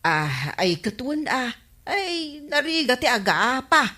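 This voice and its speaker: Animated, bright-voiced village woman. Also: high-pitched, a little slow, heavily acted. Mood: shocked. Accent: Ilocano. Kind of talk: Ilocano comedy radio drama acting.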